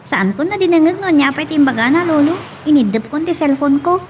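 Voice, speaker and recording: Woman acting very high-pitched young schoolgirl. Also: expressive, a little fast. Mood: frank, earnest. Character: earnest, hopeful schoolgirl daughter, dutiful and chatty. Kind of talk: radio-style serial drama acting. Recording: distant mic with faint noise.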